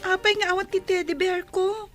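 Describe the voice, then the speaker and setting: A woman's voice: very high-pitched, little pitch movement, normal speed, faint music behind. A woman with an Ilocano accent playing a small, aggrieved little girl in a radio comedy drama, whiny and plaintive. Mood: hurt, whiny.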